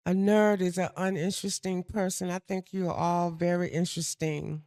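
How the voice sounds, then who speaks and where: An older woman from the States, chatting with her daughter in a soft, gentle, unhurried way. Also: lowish voice, flat delivery, no room sound, clean studio sound. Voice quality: soft-spoken. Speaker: mother and co-host. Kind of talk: casual chat.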